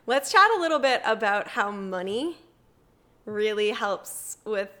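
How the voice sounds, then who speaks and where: A woman's voice: mid-range voice, lively intonation, measured pace, sharp tone. A woman hosting a casual chat in an informal, goofy way, with slangy talk peppered with "like". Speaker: host.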